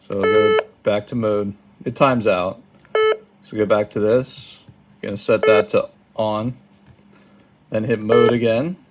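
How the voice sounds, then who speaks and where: A man giving a casual step-by-step how-to, halting and thinking aloud, recorded indoors at a tabletop. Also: lowish voice. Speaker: product tutorial presenter. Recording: clearly noisy home recording, button clicks.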